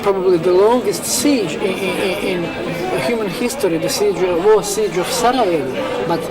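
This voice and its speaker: A man with a Bosnian accent giving an earnest interview in non-native English, halting and repeating himself as he searches for words. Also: high voice, animated, rough recording. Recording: loud music and crowd behind.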